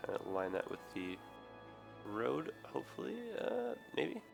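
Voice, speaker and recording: A man with a raspy, hoarse, tired voice, rambling through casual game commentary with many ums and self-corrections. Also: mid-range voice, wildly swooping pitch, measured pace, music in the background. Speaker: gaming video host. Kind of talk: casual let's play commentary.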